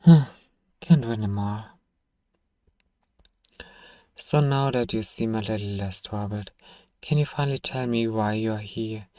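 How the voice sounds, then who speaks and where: A man acting as a gruff, sarcastic, reluctant apothecary shopkeeper in a fantasy ASMR roleplay, grumbling dryly straight at the listener. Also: lowish voice, moderate pace, mellow, dry recording, natural voice. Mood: dry, grudging. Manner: grumbling, dry sarcasm, sighing, addresses listener directly.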